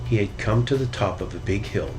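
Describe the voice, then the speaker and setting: A man reading a children's storybook aloud as narrator, in an animated storytelling style. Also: fairly low pitch, brisk, over music, poor audio. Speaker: storybook reader.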